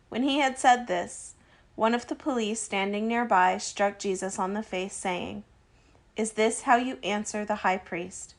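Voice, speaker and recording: A woman reading a Gospel narrative aloud from a script as a church reader. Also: mid-range voice.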